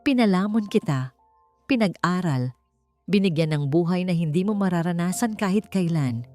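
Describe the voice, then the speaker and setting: A cold, domineering businessman father, acted out by a woman narrator in an audiobook story. The delivery is commanding and scornful, with wide swings of pitch. Music plays softly underneath. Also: animated, moderate pace, natural voice. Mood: cold, angry. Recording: music bed behind the voice.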